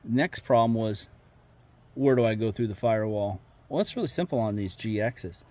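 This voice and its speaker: A man's voice: on the low side, moderate pace, mellow. Plainspoken man showing his vehicle work. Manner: plainspoken, folksy, rambling with fillers and restarts. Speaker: car modification vlogger. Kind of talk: casual how-to walkthrough.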